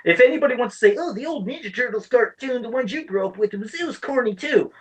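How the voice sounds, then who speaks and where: A woman's voice: on the high side, lively intonation, fast. A woman in her thirties hosting a casual pop culture podcast, talking in an opinionated, rambling way peppered with swearing. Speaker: podcast host.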